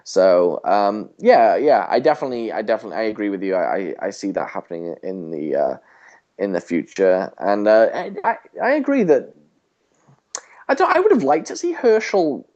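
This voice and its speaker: A man's voice: on the low side, very animated, moderate pace, darkish tone, dull audio. A man co-hosting a podcast in casual chat, hesitant with many restarts and fillers. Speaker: podcast co-host.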